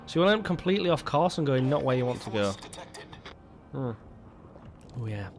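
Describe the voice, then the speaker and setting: A man's voice: medium pitch, sing-song, fairly quick. A man hosting casual gameplay commentary, rambling with stumbling restarts and a lingering cough. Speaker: gaming video host.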